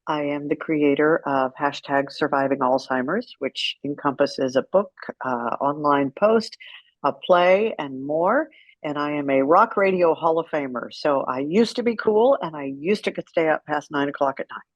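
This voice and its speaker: A woman's voice: low voice, moderate pace, no room sound. A middle-aged American woman speaks as an interview guest, candid and wry, telling stories conversationally. The audio is a little dull and very dry, with hardly any room around the voice. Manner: candid, wry, animated retelling with quoted dialogue. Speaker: interview guest, caregiver advocate. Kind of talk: conversational storytelling.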